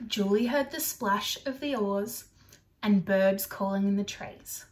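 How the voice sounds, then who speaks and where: A young adult woman reading a picture book aloud to children in an expressive storytelling style, recorded indoors on a device about arm's length away. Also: medium pitch, unhurried, slight background noise. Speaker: storybook reader for primary children. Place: small furnished room indoors.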